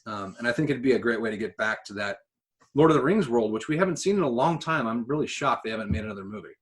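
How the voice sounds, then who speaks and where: A man with a mid-pitched voice talks as a podcast co-host. His delivery is casual and conversational, full of firm opinions and easy swearing. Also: animated, fast talker. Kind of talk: casual podcast chat about films and games.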